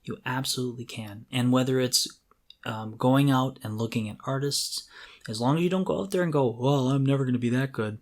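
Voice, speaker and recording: A man's voice: medium pitch, brisk. A middle-aged man co-hosting a podcast, talking casually and reflectively as he thinks aloud. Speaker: podcast co-host. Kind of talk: casual conversational talk.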